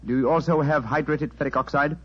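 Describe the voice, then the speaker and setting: An actor playing a brilliant, self-assured gentleman detective in an old radio drama, precise and urbane. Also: a little high, steady tone, husky. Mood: brisk. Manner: brisk, precise, urbane, didactic. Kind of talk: 1940s radio drama acting. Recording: dull, muffled vintage radio recording.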